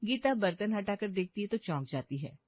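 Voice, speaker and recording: A woman's voice: mid-range voice, very animated, moderate pace, no room sound. Woman narrator, dry slightly muffled sound. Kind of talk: scripted story narration.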